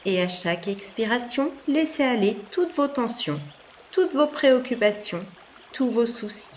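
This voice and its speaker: A woman leads a guided meditation as a yoga nidra teacher. She gives gentle, soothing instructions at an unhurried pace, leaving long pauses. The recording is slightly dull and lo-fi, with running water and noise behind her. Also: a little high, lively intonation.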